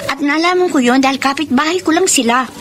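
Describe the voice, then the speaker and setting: A woman's voice: high voice, quick pace, slight background noise, close to an everyday voice. A woman acting a frank, gossipy young provincial cousin and confidante in a Filipino radio drama. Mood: frank, concerned.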